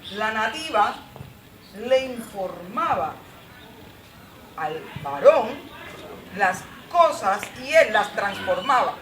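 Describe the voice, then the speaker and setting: Woman panelist, emphatic storyteller, roomy sound with noise behind. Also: mid-range voice. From Colombia. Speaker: panelist at a community forum. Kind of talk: impassioned personal talk. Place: outdoor patio. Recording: roomy, distant and noisy.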